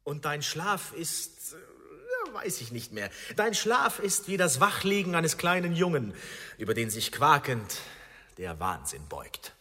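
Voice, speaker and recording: An actor playing a witty, grandiloquent bridegroom in a radio drama, declaiming with mock solemnity. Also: male voice, a little high, wildly swooping pitch, a little slow, pushed and bright, full character voice. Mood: rapturous, declamatory. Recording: long hall reverb, faint music.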